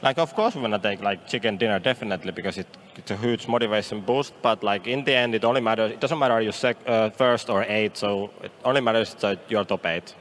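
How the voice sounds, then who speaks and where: A young man with a Finnish accent, a pro player giving halting post-match interview answers full of fillers. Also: lowish voice, fairly bright tone, a little hiss or hum. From Finland.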